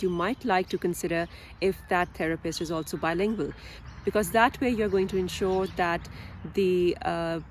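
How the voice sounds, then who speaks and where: A woman who speaks English as a second language, giving explanatory advice as a video presenter in a measured, deliberate way with pauses mid-phrase. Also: on the low side, a little hiss or hum. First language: a language other than English. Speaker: video presenter, parent advocate. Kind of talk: explanatory advice talk to camera.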